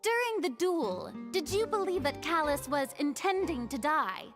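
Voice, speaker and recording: A woman's voice: high voice, sing-song, normal speed. An actress playing a formal, careful young woman questioning witnesses in a video game courtroom. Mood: earnest, careful. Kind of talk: dramatic video game voice acting. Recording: music under the voice.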